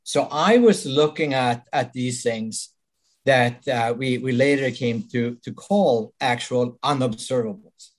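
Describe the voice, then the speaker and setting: An older man from Sweden with a Swedish accent, answering interview questions thoughtfully with frequent pauses and restarts. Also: medium pitch. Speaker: guest.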